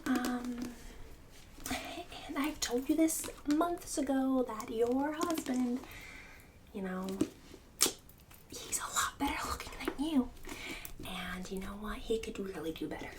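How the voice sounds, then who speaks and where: A woman's voice: fairly high pitch, animated, takes it slowly, pauses often, far from the mic, very noisy. A woman playing a snobbish, mean suburban book club hostess in an ASMR roleplay, partly whispered, with condescending, fake-polite emphasis. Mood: smug gossip.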